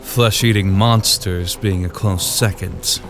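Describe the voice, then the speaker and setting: Man acting a smug, slow, low-voiced exorcist. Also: fairly even intonation. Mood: dry. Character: smug, taunting, sarcastic male exorcist. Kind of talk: audiobook drama acting. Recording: background music.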